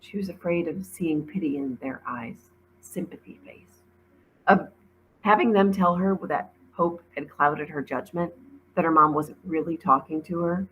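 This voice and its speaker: A woman's voice: low-pitched, measured pace, highs cut off, a little hiss or hum. A woman, a teacher, reading a children's novel aloud as narrator. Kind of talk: storybook read-aloud narration.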